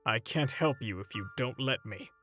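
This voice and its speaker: A man playing an older superhero mentor in a comic dub, paternal and gently stern, with a theatrical, measured delivery. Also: mid-range voice, animated, soft background music. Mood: probing. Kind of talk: dramatic comic dub voice acting.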